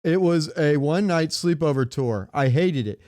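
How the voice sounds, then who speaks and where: A man's voice: a little high, animated, normal speed, a little mellow, no echo, clean studio sound. A man co-hosting a comedy podcast, chatting casually with some swearing and reading bits aloud in a joking way. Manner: profane, joking. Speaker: podcast co-host.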